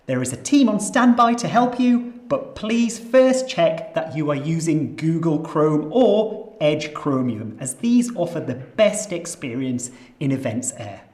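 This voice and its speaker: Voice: mid-range voice, very animated, measured pace, darkish tone, roomy sound. A host with a Yorkshire accent reading scripted housekeeping announcements for an online meeting, with light self-deprecating asides. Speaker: event host. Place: nature reserve headquarters.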